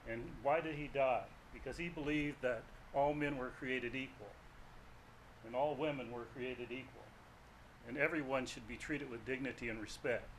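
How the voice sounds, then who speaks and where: An American man speaks off the cuff at a public meeting in a mid-pitched voice. His delivery is earnest and emphatic, with repeated phrases, over faint room noise. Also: normal speed, a bit bright, low-quality recording. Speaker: guest speaker at council meeting. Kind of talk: impromptu public speech. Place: city council meeting room.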